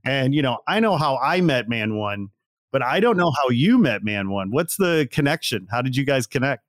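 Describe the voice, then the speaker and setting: A man's voice: medium pitch, big pitch swings, normal speed, dry recording. A man of fifty hosting a casual interview, effusive and loose, with lots of fillers and a bit of swearing. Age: 50s. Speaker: interview host.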